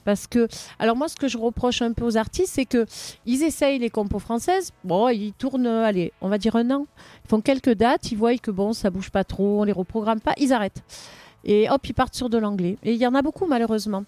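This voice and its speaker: A woman hosting a casual radio interview, hoarse from a cold, chatty and full of fillers and restarts. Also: a little high, a little fast, dark tone, no echo. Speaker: radio host.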